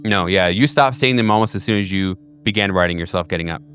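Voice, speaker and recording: A man's voice: sits low, quick pace, fairly bright tone. A man narrating as game master of a horror tabletop game, rambling with fillers and restarts.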